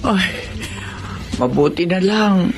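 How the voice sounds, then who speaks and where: Expressive, fretful older Filipino wife. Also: female voice, on the low side, slow, over music. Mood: gentle, worried. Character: older devoted, anxious wife of a street vendor. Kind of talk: Filipino radio drama acting. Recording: noisy background ambience.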